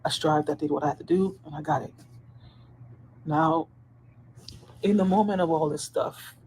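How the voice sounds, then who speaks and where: A woman's voice: sits low, moderate pace, darkish tone, a little hiss or hum, low-quality recording. Earnest woman on a personal video. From Dominican Republic. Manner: earnest, emphatic, restarts and repeats phrases. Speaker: corrections officer. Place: on duty at a hospital post.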